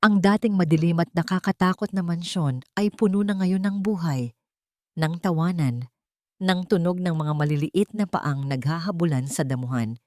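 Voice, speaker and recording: A woman's voice: on the low side, moderate pace, darkish tone, no echo. A woman with a Filipino accent reading a scripted dramatic story as narrator and host, in an earnest, sentimental way.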